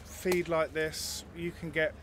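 A man in his thirties answers questions at a stall under a marquee, his voice sitting a little high for a man. He explains in a friendly, easygoing way, sometimes restarting his sentences. The sound comes through a handheld mic at an outdoor show. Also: flat delivery, slow, noisy background. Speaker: garlic farm stall worker. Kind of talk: casual interview answers. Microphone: handheld mic held by the interviewer.

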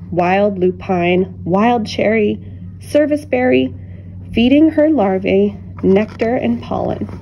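A woman reading a children's picture book aloud with deliberate, expressive phrasing and dramatic pauses. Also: mid-range voice, dull audio, slight background noise. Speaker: children's storybook reader.

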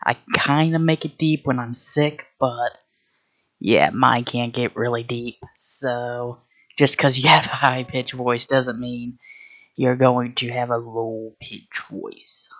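A man commenting casually on a video he is reacting to, giving relaxed advice. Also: medium pitch, slow, dull audio. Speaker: reaction channel host.

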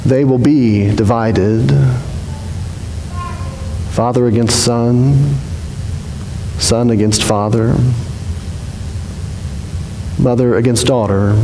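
A man in his forties, a pastor giving a sermon from a pulpit in a church sanctuary, speaking earnestly and slowing down for emphasis. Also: mid-range voice, fairly even intonation. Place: large church sanctuary, indoors. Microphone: headset mic at a pulpit. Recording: echoey hall reverb, faint hiss.

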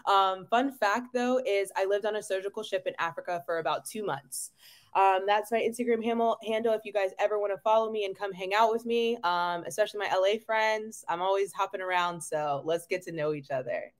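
A woman's voice: mid-range voice, a little fast. A woman nurse hosting an online webinar on a video call, chatty and upbeat, with lots of ums and frequent laughs. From North Carolina, US. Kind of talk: casual webinar presentation. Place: home room.

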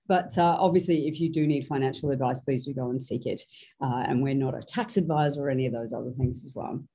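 A woman in her forties hosts a webinar with a rough, hoarse voice pitched very low and darkly. She talks chattily and welcomingly from a studio. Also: fast, warm tone. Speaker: webinar host. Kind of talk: hosting a live webinar. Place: studio with branded backdrop.

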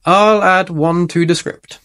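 A gentle female gazelle teacher from a children's storybook who encourages her class. A man voices her while reading aloud. Her voice sits a little higher than his usual one. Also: fairly even intonation, takes it slowly, slight background noise.

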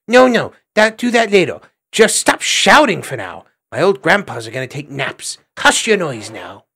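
A pint-sized squirrel boy who bosses everyone about in broken childish speech, as a man plays him. The voice sits very high and squeaky, lively and bouncing in this storybook reading. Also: normal speed, husky, heavily acted. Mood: bossy. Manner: broken childish grammar, bossy orders. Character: bossy little male squirrel child cook.

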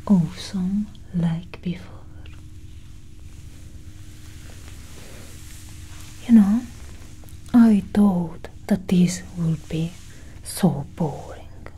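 A woman playing a curious, coolly possessive female alien doctor in a soft, whispered ASMR roleplay, breathy and close to the microphone. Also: lowish voice, exaggerated voice, low-quality recording. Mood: intrigued, fond. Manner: slow, deliberate, hushed.

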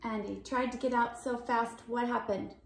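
A woman's voice: on the high side, steady tone, normal speed, gravelly, slight background noise. A woman in her thirties reading a children's picture book expressively, with chatty asides to young viewers. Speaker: read-aloud host. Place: bedroom, indoors. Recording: roomy bedroom echo.

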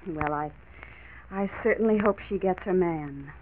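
An American actress playing a sympathetic, wide-eyed wife in a 1940s radio drama. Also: female voice, lowish voice, unhurried, natural voice. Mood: amazed, sympathetic. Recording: muffled, hissy 1940s radio transcription disc.

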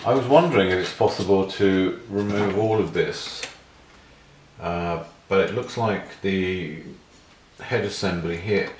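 Male hobbyist repairer, deep-pitched, matter-of-fact, talking through his work in an echoey room. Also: a little slow, slight background noise. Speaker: repair hobbyist demonstrating a restoration. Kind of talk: casual hands-on tutorial commentary, thinking aloud while working. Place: indoors at a repair workbench. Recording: roomy, clear handling clatter and clicks.

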